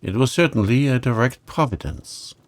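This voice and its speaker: A man's voice: mid-range voice, normal speed, pitched above the performer's own voice, lightly acted. A man voicing a genteel, dramatic middle-aged aunt in a storybook, recounting things with emphasis. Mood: earnest recounting. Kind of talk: storybook character reading.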